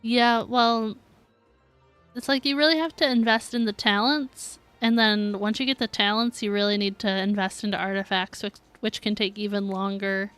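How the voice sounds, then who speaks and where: Girl streamer just chatting, really clean smooth voice, dry mic, faint game music. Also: medium pitch, fairly even intonation, moderate pace, a bit bright, no echo. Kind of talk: casual chat with stream viewers.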